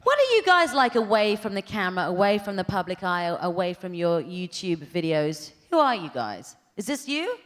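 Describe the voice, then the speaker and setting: A woman moderates a casual live interview from the stage. Her mid-pitched voice moves up and down in a very animated way, and a long hall reverb surrounds it. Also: normal speed, few pauses. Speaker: event host, interviewer. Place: on stage at a fan event in a large hall.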